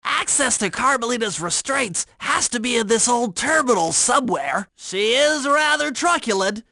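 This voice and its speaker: A man's voice: very high-pitched, animated, moderate pace, sharp tone, no room sound, clean studio sound, heavily acted. A man voicing a nerdy, anxious young male turtle inventor and hacker in a video game, speaking in precise, wordy technical phrases. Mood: determined.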